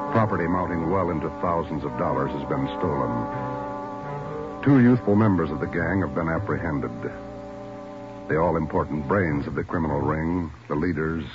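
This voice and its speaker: Very low male announcer, emphatic vintage radio style, scripted delivery over music, muffled recording. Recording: dull old radio recording. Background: loud music.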